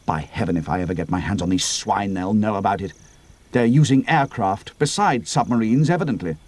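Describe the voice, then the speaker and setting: A man's voice: fairly low pitch, lively intonation, brisk, a little mellow, no echo, voice pushed down. A man voicing a grave, decisive young air adventurer in a storybook reading, with a terse, commanding manner. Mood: grim, angry. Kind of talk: dramatic audiobook character reading.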